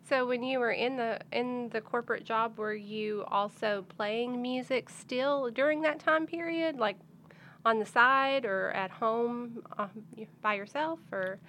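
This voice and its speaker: A woman's voice: fairly high pitch, measured pace, bright, forceful tone, slight background noise. A woman hosting a casual interview as a music editor, asking questions in an informal way.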